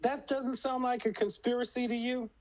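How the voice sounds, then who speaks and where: Bright-voiced woman in her sixties. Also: a little high, little pitch movement, normal speed. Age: 60s. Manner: earnest storytelling, urging others to speak up. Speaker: discussion participant. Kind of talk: group discussion.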